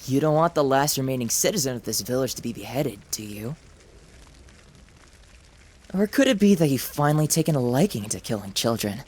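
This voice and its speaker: A woman's voice: very deep voice, expressive, fairly quick, pauses often, darkish tone, slightly rough, full character voice. A woman voicing a taunting, sadistic male samurai villain in a visual novel, with theatrical, sneering delivery. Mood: sneering, gloating.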